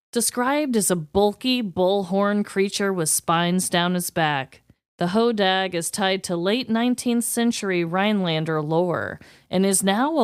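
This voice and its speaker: A woman's voice: mid-range voice, no room sound, clean studio sound. A woman co-hosting a podcast, chatting casually and reading from prepared notes. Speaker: podcast co-host.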